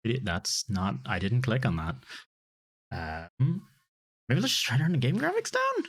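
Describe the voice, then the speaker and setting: A man's voice: mid-range voice, wildly swooping pitch, normal speed, husky. Laid-back swearing male streamer. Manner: chatty, swears freely, laughs often. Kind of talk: casual live game stream chat.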